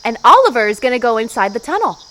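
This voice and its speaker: A woman's voice: a little high, fast, slight background noise. A woman playing a bossy, mischievous teenage girl in a horror audio play. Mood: excited, mischievous. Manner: bossy, teasing, animated.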